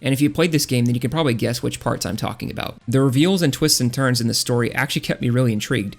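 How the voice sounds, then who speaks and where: A man's voice: medium pitch, rapid-fire, vocal fry. A man narrating a scripted video game review in a casual, blunt, opinionated way. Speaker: video essayist reviewing video games.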